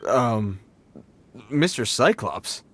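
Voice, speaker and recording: An actor playing an earnest, hapless guard on patrol in a video game, speaking in a formal, stiff and somewhat hesitant way. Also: male voice, mid-range voice, big pitch swings, extremely slow, fairly bright tone, exaggerated voice. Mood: flustered.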